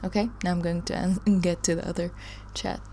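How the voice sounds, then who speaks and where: A woman's voice: fairly low pitch, flat delivery, brisk, dark tone, a little hiss or hum. A woman hosting a live study stream, chatting casually with viewers and reading their comments aloud in a rambling, chatty way. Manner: rambling, chatty, laughs often, trails off.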